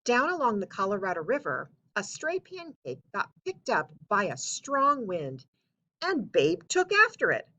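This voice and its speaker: Animated, bright-voiced woman reading a story. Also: medium pitch, a little slow, muffled recording. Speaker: story reader. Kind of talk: children's storybook read-aloud narration.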